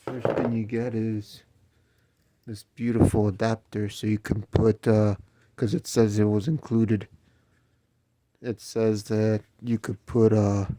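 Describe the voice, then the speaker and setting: A man's voice: fairly low pitch, dark tone. A man casually walking through an unboxing of a speaker stand, explaining each part as he goes and correcting himself along the way. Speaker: DJ reviewing gear.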